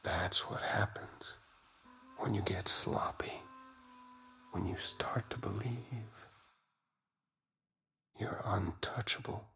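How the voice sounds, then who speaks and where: A man playing an obsessed, grim investigator in a whispered ASMR dramatic monologue, speaking deliberately and with menace. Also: deep voice, gravelly, pushed and bright, exaggerated voice. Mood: grim resolve.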